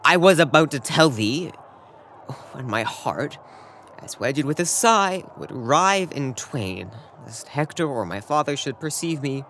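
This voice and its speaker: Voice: mid-range voice, expressive, unhurried, a bit bright, a little hiss or hum. A young performer playing a lovesick, despairing Trojan prince in a Shakespearean audio drama, impassioned and given to sighing declamation. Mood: lovesick, anguished. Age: young adult.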